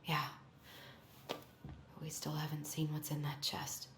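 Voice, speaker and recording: An actress playing an earnest, determined young woman in a video game, soft-spoken and a little hesitant. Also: low-pitched, monotone, a little slow, roomy sound, a little hiss or hum. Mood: hushed, sorrowful. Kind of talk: naturalistic game cutscene dialogue.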